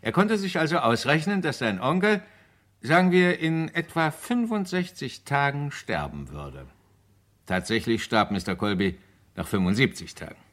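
An actor playing a confident British police inspector in a German radio crime drama, explaining methodically with deliberate emphasis. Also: male voice, mid-range voice, sing-song, fairly bright tone. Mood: assured, explanatory.

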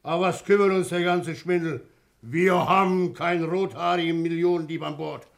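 Blustering, creaky-voiced ship's captain. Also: male voice, fairly high pitch, steady tone, normal speed. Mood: hearty. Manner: gruff, hearty, grumbling and cursing. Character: blustering, jovial German ship's captain, middle-aged or older. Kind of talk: 1960 German radio play acting.

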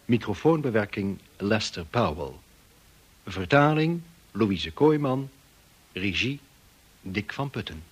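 A man's voice: fairly low pitch, big pitch swings, slow pace, pauses often, a little hiss or hum. A man reading formal scripted credits as the announcer of a radio play.

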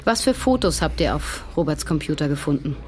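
Low-voiced, direct grieving young woman. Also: expressive, fairly quick, a little mellow, slight background noise. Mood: searching. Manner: direct, questioning. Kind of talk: naturalistic radio drama.

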